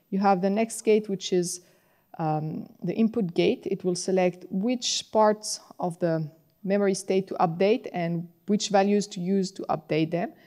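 A woman's voice: mid-range voice, warm tone. French-accented woman giving a technical lecture. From France. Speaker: conference tutorial presenter. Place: large conference hall, on stage at a podium.

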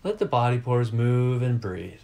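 A man leading a guided meditation as a teacher, giving calm, deliberate instructions in short, measured phrases. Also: medium pitch, gravelly.

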